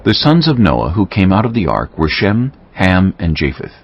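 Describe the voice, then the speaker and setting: A man narrates scripture as a scripted drama, measured and storylike. His very deep voice moves widely in pitch. Some music sits behind the slightly dulled sound. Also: huge pitch swings, husky. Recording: slightly dull audio over music.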